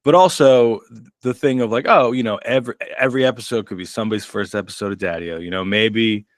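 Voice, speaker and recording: A man's voice: on the low side, fast talker, dry recording, studio quality. A middle-aged man, a comedian co-hosting a podcast, chatting casually and rambling in a self-deprecating way. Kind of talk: casual chat.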